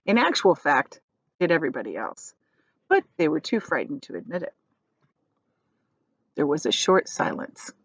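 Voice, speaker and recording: Animated woman reading a storybook aloud. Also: deep voice, gravelly, dry recording, dull audio. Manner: explanatory asides to listeners. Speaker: teacher reading a book aloud. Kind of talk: expressive children's storybook read-aloud.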